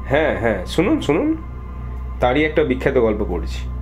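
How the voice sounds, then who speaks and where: A man's voice: mid-range voice, wildly swooping pitch, normal speed, soft background music, low-quality recording. A man playing an eager, sociable poetry lover in an audio drama, effusive and insistent in manner. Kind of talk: audio ghost-story drama acting.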